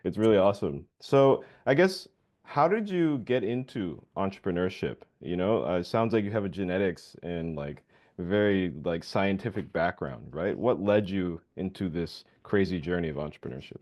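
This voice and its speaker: A man's voice: low-pitched, expressive, normal speed, darkish tone. A man from the United States hosting a podcast interview, chatting casually and enthusiastically with many "you know"s and "awesome"s. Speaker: podcast host.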